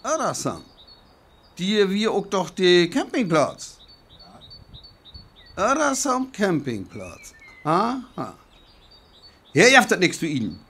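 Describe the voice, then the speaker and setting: An actor with a North Frisian accent playing a jumpy, embittered soldier in a radio play, speaking in gruff, broken phrases. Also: male voice, high voice, wildly swooping pitch, slow pace, long pauses, fairly bright tone, full character voice. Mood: suspicious, challenging. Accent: North Frisian (Föhr). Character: jumpy, embittered male soldier holed up after defeat.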